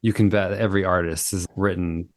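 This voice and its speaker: A fairly low-pitched man talks with easy enthusiasm, often affirming what he hears. He is heard over a video call, and the sound is dry with no room echo. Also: fairly even intonation, fast. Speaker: guest, singer-songwriter. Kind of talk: casual interview chat.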